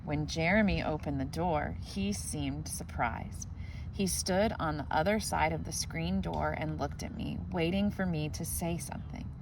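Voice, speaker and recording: A woman reading a children's picture book aloud in a gentle, expressive way. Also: sits low, a little slow, gravelly, background noise. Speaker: storybook reader.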